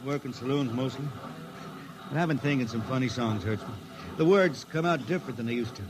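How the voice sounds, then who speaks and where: A man's voice: medium pitch, brisk, noisy background, lightly acted. An actor playing a cocky, folksy veteran spaceship jetman in an old-time radio drama. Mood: wistful. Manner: folksy drawl, irreverent. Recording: old radio recording with music.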